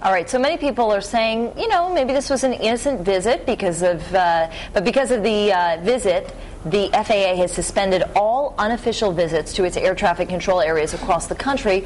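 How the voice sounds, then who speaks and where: A woman's voice: mid-range voice, animated, a little fast, darkish tone, slight background noise. A woman in her thirties co-hosting a television panel discussion from a studio couch. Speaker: TV morning show co-host.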